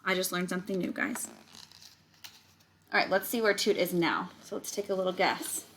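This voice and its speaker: A woman's voice: fairly low pitch, moderate pace, slight background noise. A woman reading a picture book aloud to children like a teacher, stretching words and adding casual explaining asides to her young listeners. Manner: animated storytelling, stretches words, chats directly to young listeners.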